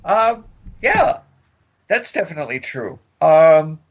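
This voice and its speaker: A man's voice: a little high, sing-song, takes it slowly. A man co-hosting a podcast in a casual review chat, conversational and a little tentative, repeating his phrases. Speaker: podcast co-host. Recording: dull, lo-fi audio.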